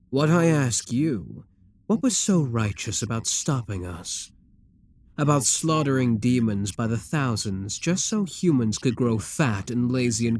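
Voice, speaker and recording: A man voicing a possessive, scheming demon king who talks to the listener in a calm, coaxing, self-assured way. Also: mid-range voice, dry recording. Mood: persuasive. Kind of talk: audio roleplay addressed to the listener.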